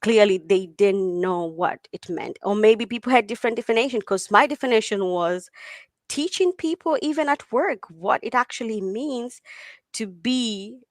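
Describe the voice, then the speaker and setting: A woman whose first language is Swahili, a tech founder answering interview questions in a lively way, laughing often and restarting sentences. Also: a little high, moderate pace, no room sound, clean studio sound. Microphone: video call.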